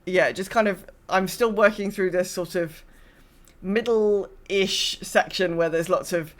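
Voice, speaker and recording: A woman's voice: fairly low pitch, slow. A woman hosting a podcast, chatting casually about gaming in a wry way and slipping into imagined quotes. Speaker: podcast host.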